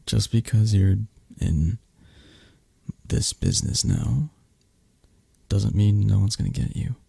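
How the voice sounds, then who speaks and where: A man playing a possessive, flirty mafia boss who dotes on his lover, murmuring softly and intimately in an ASMR romantic roleplay, with a breathy, husky, hesitant and reassuring delivery. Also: on the low side, takes it slowly, no echo, full character voice. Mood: protective, possessive.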